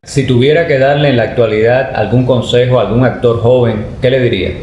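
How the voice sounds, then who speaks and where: A man's voice: medium pitch, fairly even intonation, brisk, a little mellow. A man asking polite, formal questions as an interviewer. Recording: roomy, echoey, faint noise.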